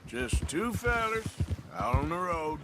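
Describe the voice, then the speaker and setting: An actor playing a seasoned, laconic gunslinger in a western video game, dry and protective, with an American accent. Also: male voice, a little high, big pitch swings, unhurried, very noisy. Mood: watchful. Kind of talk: naturalistic video game acting.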